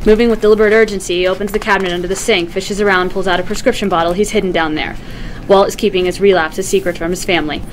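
Woman reading out script directions, mid pitch, a bit of room noise. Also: fairly even intonation, racing, low-quality recording. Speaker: script narrator. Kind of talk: scripted narration of stage directions. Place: large meeting room at a table read.

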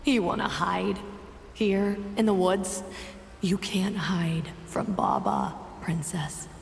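A cruel, mocking witch spirit in a video game. She taunts in a slow, gravelly drawl that drops into hissing whispers. It rings out through long reverb over game music. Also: fairly low pitch. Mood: taunting.

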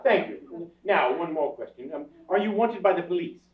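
An actor playing a fussy, flustered society master of ceremonies in a staged radio play, halting and polite. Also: male voice, a little high, lively intonation, recorded from a distance, natural voice. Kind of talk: staged 1930s-style radio play reading. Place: theatre stage with audience, far camera. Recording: echoey dull stage recording, audience noise.

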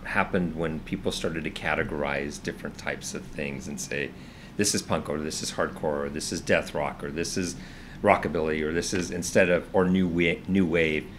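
A man's voice: extremely low pitch, fairly even intonation, moderate pace, background noise. A man in his fifties co-hosting a casual vlog, earnest and hesitant, often restarting his sentences, seated on a sofa indoors. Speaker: vlog co-host. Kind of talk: casual chat. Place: indoors, living room with orange sofa.

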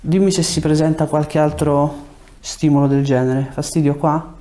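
A man's voice: fairly high pitch, little pitch movement, normal speed, dark tone. A man in his thirties, a chiropractor, explaining and giving short clinical instructions and questions to a patient in speech. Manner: short directive questions and prompts. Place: small treatment room, indoors. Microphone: lapel mic.

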